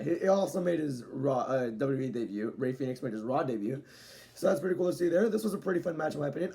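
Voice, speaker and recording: A man's voice: a little high, gravelly, mellow. A young man casually reviewing a wrestling show at home, chatty and rambling, with restarts and the odd swear word. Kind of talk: casual spoken review to camera. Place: home room with dogs nearby.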